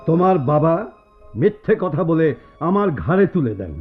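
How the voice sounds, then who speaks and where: A man's voice: fairly high pitch, big pitch swings, normal speed, breathy edge. A man playing a cold, contemptuous husband making accusations in a radio drama. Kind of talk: Bengali radio drama acting. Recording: thin phone-like audio over music.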